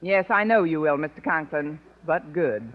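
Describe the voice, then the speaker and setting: A woman's voice: low-pitched, expressive, normal speed, over loud music. A woman playing a sardonic, dry English teacher in a 1950s radio sitcom. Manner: dry, wisecracking. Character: wry, sardonic female high school English teacher. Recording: dull, noisy 1950s radio recording.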